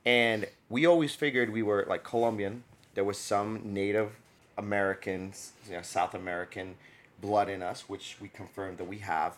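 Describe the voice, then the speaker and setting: Young New guy, low voice, animated food-podcast co-host who laughs a lot. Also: normal speed. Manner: animated storyteller, laughs often, gets loud when excited. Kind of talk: casual chat.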